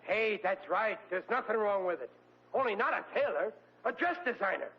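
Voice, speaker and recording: Blustery goofy radio sitcom dad, kinda high voice, old muffled broadcast. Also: male voice, normal speed, slight background noise. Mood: excited. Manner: blustery, ungrammatical, excitable. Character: blustering working-class family father, bumbling. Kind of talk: 1940s radio sitcom acting. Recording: dull lo-fi 1940s radio broadcast.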